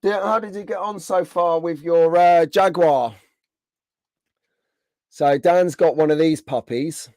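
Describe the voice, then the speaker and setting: A man hosting a casual live stream, greeting viewers by name, his voice slightly hoarse from being under the weather. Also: medium pitch, a little mellow, no room sound.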